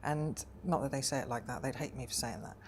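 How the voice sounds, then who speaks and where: A woman in her forties from Wales, speaking thoughtfully in a personal interview, often pausing with hesitant ums. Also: very deep voice, a little mellow, a little hiss or hum. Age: 40s.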